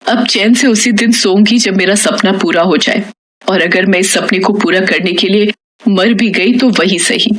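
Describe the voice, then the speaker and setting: A woman's voice: medium pitch, a bit bright, close to an everyday voice, rough recording. Woman voicing an earnest, determined young housemaid. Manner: earnest, resolute. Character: poor, determined young housemaid with a collector's dream.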